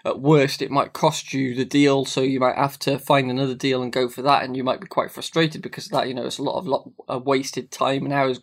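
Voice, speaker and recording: A man with a cold, talking casually to camera about business responsibility, repeating phrases and filling pauses with ums. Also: medium pitch, flat delivery. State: has a cold, sniffly. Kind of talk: casual advice monologue.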